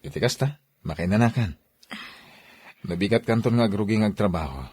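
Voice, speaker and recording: A man's voice: fairly low pitch, fairly quick, a little mellow, slightly rough. A man playing a needy, coaxing husband in an audio drama, with an Ilocano accent. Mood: welcoming. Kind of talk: dramatized radio-style story acting.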